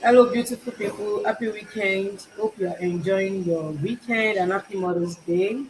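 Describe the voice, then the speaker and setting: A woman's voice: medium pitch, moderate pace. A woman educator giving a cheerful, friendly greeting and self-introduction on a live stream. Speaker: guest educator. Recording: noisy, distant mic.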